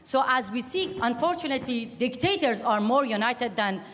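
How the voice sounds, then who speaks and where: Loud impassioned woman from Iran. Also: on the high side, animated, normal speed. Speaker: audience member asking a question. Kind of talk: forceful public question at a panel. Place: large conference hall. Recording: long hall reverb.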